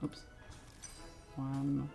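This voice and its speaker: A woman's voice: a bass voice, completely flat delivery, extremely slow, vocal fry, warm tone. A woman game streamer giving casual, irreverent, self-mocking live commentary with some swearing. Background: faint game music.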